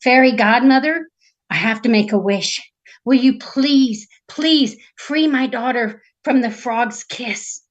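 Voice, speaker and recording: A woman voicing a king in a fairy tale, a measured, firm and worried father. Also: fairly high pitch, muffled recording. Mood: imploring. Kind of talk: live storytelling of a fairy tale.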